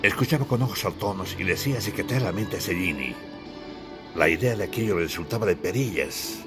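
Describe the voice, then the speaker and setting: Low-voiced man narrating an audiobook over music. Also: expressive, brisk. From Bolivia. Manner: theatrical, solemn storytelling. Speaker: author and narrator.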